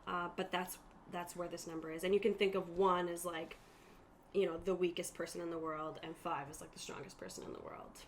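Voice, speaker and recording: A woman's voice: lowish voice, little pitch movement, a little fast. A woman acting as game master, explaining tabletop game rules to players on a stream in a casual, chatty way. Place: room at a group gaming table. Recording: noticeable room noise behind the voice.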